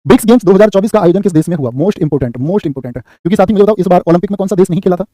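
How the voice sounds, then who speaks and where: Male coach, high voice, very fast repeating quiz delivery. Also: expressive, warm tone, no echo, clean studio sound. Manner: rapid, repeats answers and asks "what will you say?". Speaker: exam coaching teacher. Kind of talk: live online class, quizzing students.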